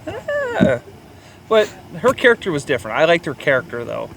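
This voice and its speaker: A man co-hosting a podcast, chatting casually about films in an animated, sometimes crude way. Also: on the high side, moderate pace, vocal fry, noisy background. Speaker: podcast co-host. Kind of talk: casual film review chat.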